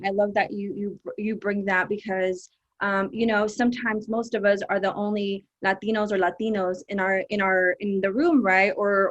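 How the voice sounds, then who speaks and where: A woman's voice: mid-range voice, dull audio. Upbeat woman moderating a panel. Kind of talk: hosting a panel discussion.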